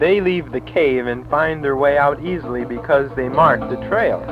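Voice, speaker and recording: A man's voice: mid-range voice, normal speed, music in the background. A man reading a scripted amateur audio drama in a formal, recited manner, as narrator and players. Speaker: narrator and reader of parts. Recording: muffled tape with heavy noise and clicks.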